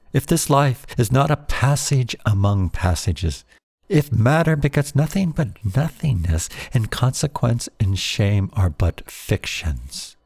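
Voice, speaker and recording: A man reading his own poem aloud as a scripted recitation, in a solemn, measured manner with deliberate phrasing. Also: lowish voice, creaky, darkish tone, no echo, clean studio sound.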